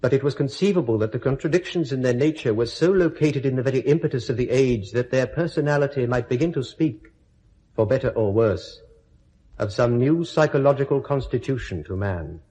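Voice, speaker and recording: A man performing as the narrator of a radio space drama, measured, literary and portentous. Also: mid-range voice, warm tone, close to an everyday voice, rough recording. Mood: grave.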